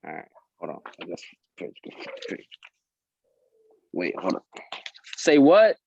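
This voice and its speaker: A man's voice: low-pitched, wildly swooping pitch, takes it slowly, vocal fry, darkish tone, husky, no room sound. A man at a table read over a video call, reading script lines and coaching others between them. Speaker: script reader and prompter. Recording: dry, slightly dull call audio.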